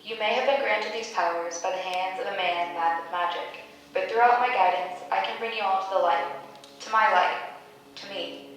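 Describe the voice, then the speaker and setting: A solemn, maternal nature goddess played by a woman speaks in stately incantations for a stage drama. Her pitch is medium. The sound is distant and heavily reverberant, with faint noise behind it. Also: moderate pace, fairly bright tone, lightly acted. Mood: reassuring, majestic. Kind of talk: staged reading of a play. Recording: very echoey room, distant mic, background noise.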